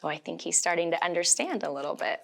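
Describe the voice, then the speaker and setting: A woman reading a picture book aloud to young children, gently and with little questions to them as she goes. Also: fairly low pitch, lively intonation, fast talker, gravelly, a little hiss or hum. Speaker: storytime reader.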